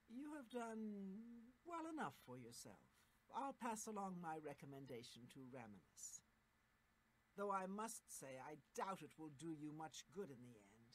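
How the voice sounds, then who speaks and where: An actress playing an aloof, condescending high elf mage in a video game, speaking in a cool, measured way. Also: female voice, a little hiss or hum, natural voice, rough recording. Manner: cool, measured, slightly dismissive.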